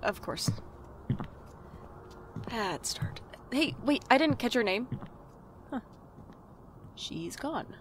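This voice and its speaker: A woman's voice: on the high side, expressive, very slow, pauses often, a little hiss or hum. A woman playing a wry, hapless twenty-five-year-old in an audio drama, self-deprecating, with dry asides and an anxious streak. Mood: apologetic. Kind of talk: audio drama narration and dialogue.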